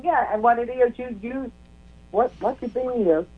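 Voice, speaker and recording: An older woman, a caller to a talk show, with a strong loud voice, chatting and telling stories and often repeating her words. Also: a little high, normal speed. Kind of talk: phone-in chat. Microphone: phone. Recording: dull lo-fi phone line.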